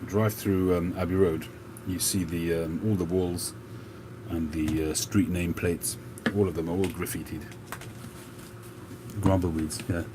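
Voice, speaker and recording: A man with a Cockney-tinged London accent, chatting slowly and calmly on a livestream with many pauses and fillers. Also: low-pitched, background noise. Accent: London, Cockney with a North London mix. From England. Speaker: livestream host. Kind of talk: relaxed live chat.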